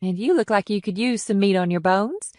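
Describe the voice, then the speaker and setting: A woman's voice: medium pitch, expressive, brisk, a little mellow, no room sound, clean studio sound, natural voice. A woman playing a glamorous, self-assured, teasing big sister in audiobook dialogue.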